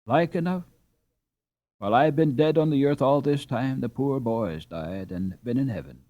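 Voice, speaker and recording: A man's voice: medium pitch, dark tone, dry recording, lo-fi sound, pitched above the performer's own voice, natural voice. A man voicing a feeble, bewildered older Dutch workman recovering from a long illness. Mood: sad. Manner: slow, wondering and feeble.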